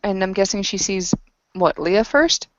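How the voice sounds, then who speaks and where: A woman narrating a tabletop roleplaying session in a hesitant, halting way. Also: medium pitch, expressive, no room sound. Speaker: game master and player. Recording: dull lo-fi voice recording.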